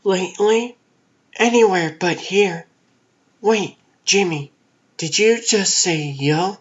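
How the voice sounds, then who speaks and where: Insistent, sardonic newswoman acted for a comic dub, measured delivery, medium pitch. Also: husky, highs cut off. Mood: wry.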